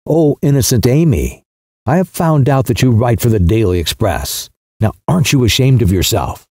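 A man's voice: medium pitch, animated, moderate pace, dark tone, no room sound, clean studio sound. A man voicing a frank, talkative young woman who asks blunt, pressing questions. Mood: teasing.

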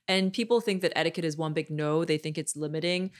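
Measured woman explaining etiquette, slightly low voice. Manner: measured, explanatory, often ends points with "right?". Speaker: etiquette expert guest. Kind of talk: interview conversation. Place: studio room. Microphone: desk microphone on boom arm.

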